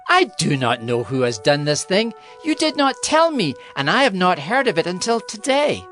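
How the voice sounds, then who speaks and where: An actor playing an earnest, insistent ruler in a dramatized scripture, formal and emphatic. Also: male voice, sits high, big pitch swings, moderate pace, a bit bright. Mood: protesting, questioning. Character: Abimelech, foreign male ruler, earnest and insistent. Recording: background music under the voice.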